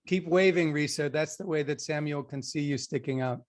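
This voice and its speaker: A man's voice: on the high side, fairly quick. A man hosting an interview on a video call, guiding the conversation and greeting the people who join. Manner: friendly, guiding and organizing the call. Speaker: interview host.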